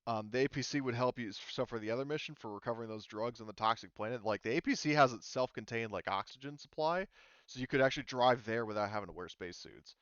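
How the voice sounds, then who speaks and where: A man's voice: medium pitch, fast, a bit bright, clear, resonant tone, no echo. A man running a tabletop game as game master, talking casually and hesitantly as he thinks aloud, on a muffled voice-chat line.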